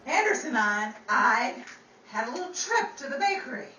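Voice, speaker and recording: A woman's voice: sits high, sing-song, moderate pace, sharp tone, exaggerated voice. A woman playing a chatty, wisecracking 1930s radio gossip gal in an old-time radio drama, with theatrical vintage patter. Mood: exasperated, wisecracking. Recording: roomy, distant mic with faint noise.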